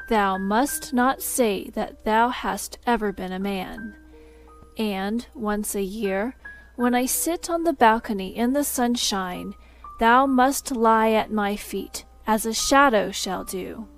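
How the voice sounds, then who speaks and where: A woman's voice: on the high side, takes it slowly, faint music behind, rough recording. A woman voicing a scheming shadow who has become a man, giving grand, commanding orders in old-fashioned speech. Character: scheming shadow turned man, smooth and lordly.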